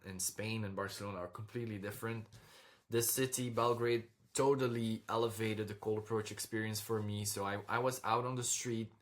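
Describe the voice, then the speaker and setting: A man's voice: lowish voice, a bit bright. A young man from Belgium, talking casually and directly to his viewers over slides, often checking in with "okay?". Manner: direct, repeats "okay?" and "all right?" to the viewer. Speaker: dating coach vlogger.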